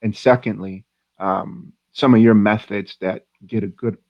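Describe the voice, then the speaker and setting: A man with a lowish voice co-hosts a casual podcast, asking long, rambling questions in an easygoing, chatty way. The sound is dry and a bit dull, like a lo-fi recording. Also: fairly even intonation, unhurried, no echo. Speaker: podcast co-host. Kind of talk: casual interview hosting.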